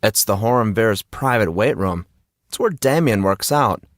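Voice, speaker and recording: A man's voice: fairly low pitch, expressive, a little slow, no echo, clean studio sound. A man voicing a confident, inquisitive teenage schoolboy with a slangy manner in an audiobook drama. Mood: excited. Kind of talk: audiobook character dialogue.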